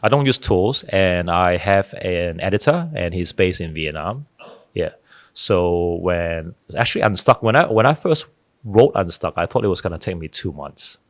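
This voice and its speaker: A man's voice: sits low, animated, moderate pace, no echo, clean studio sound. A middle-aged man, a podcast guest telling stories earnestly, often restarting his sentences. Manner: earnest, storytelling, frequent restarts. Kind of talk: casual interview talk.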